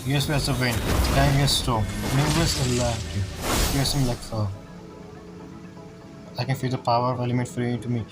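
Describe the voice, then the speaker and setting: A male gaming streamer with a medium-pitched voice gives casual commentary. He rambles and mutters reactions as he plays, with game music clearly audible behind him. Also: level tone, fairly quick, pauses often.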